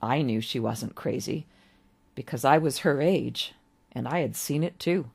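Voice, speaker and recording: A woman voicing a gentle, wistful, very old man in a story, musing kindly with little rhetorical asides. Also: extremely low pitch, a little slow, creaky, husky, full character voice. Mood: wistful, confiding.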